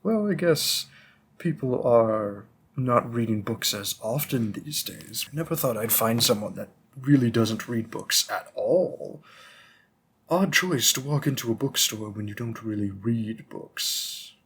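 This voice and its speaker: A man playing a long-lived, old-fashioned bookstore keeper in a roleplay audio drama, polite, rambling and gently formal as he addresses the listener. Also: medium pitch, animated, a little slow, a little hiss or hum. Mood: musing, coaxing.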